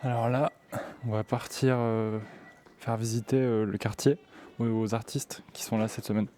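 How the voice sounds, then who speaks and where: A man's voice: medium pitch, level tone, normal speed, mellow, slight background noise. A man, a student recording a podcast and speaking hesitant English.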